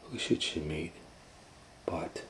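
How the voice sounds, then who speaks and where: Deep-voiced man reading a children's story. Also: slow pace, fairly bright tone, in a whisper. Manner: informal, dramatic, ad-libs around the text. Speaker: host and storybook reader.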